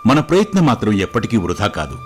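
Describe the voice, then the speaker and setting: A man playing a calm, wise fatherly king who gives measured, reassuring moral advice in a storybook tale. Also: fairly low pitch, soft background music. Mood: patient, encouraging. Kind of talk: scripted moral story dialogue.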